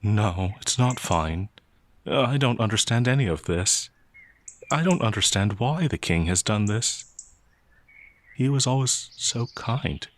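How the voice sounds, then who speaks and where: A man playing a gentle, naive young peasant werewolf, hesitant and easily flustered, in a fantasy audio roleplay. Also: lowish voice, lively intonation, measured pace. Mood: flustered, worried.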